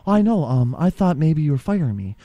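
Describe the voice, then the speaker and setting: A man's voice: a little high, big pitch swings, dark tone, no room sound. A man voicing a chipper, nosy co-host who keeps pushing for gossip. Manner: rapid, prying, innocent-sounding.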